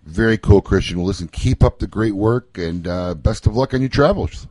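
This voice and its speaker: A deep-voiced man hosts a radio talk show, bantering and ranting with lively humor. His voice is low and husky, recorded dry and close on a studio mic. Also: moderate pace, no echo. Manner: joking, animated rants. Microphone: studio microphone.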